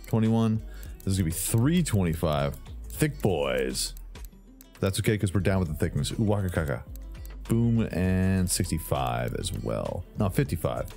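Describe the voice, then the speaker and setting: A man with a fairly low voice talks through a car repair game in a playful, goofy way. His pitch swings widely as he tosses out sound effects and snatches of song. Faint background music plays beneath him. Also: a little mellow. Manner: goofy, sings and makes silly sound effects. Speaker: gaming channel host. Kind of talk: playful gameplay commentary.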